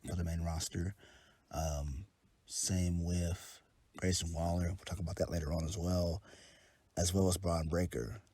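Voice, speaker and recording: Low stuffy-voiced man with a cold. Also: warm tone, slightly rough, no room sound. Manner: laid-back, rambling, frequent ums and uhs, swears casually. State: congested from a head cold. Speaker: wrestling show reviewer. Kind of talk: casual solo review.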